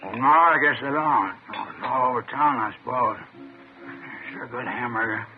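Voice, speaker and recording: An American actor playing a chatty, ingratiating police informant and racetrack hustler who talks in slang, in a 1950s radio crime drama. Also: male voice, mid-range voice, measured pace, faint music behind, lightly acted. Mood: chatty, eager. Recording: tinny, noisy vintage radio recording.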